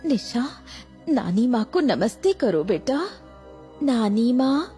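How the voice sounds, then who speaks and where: Woman as a mellow, remorseful young mother. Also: fairly high pitch, very animated, slow. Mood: remorseful, tender. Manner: earnest, emotional, gentle. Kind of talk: animated horror story acting. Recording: background music.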